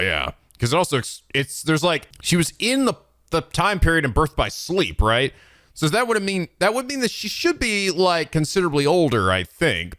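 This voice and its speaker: A man's voice: medium pitch, a bit bright, no room sound, clean studio sound. A man hosting a let's play, giving casual reaction commentary in a sweary, incredulous, jokey way. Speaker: let's play video host. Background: game cutscene music.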